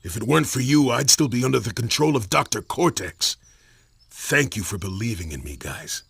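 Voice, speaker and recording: An actor playing a brawny, cocky tough-guy mutant animal in a video game, with a gravelly, blunt delivery. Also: mid-range voice, lively intonation, normal speed, a bit bright, exaggerated voice. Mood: grateful. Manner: swaggering, blunt.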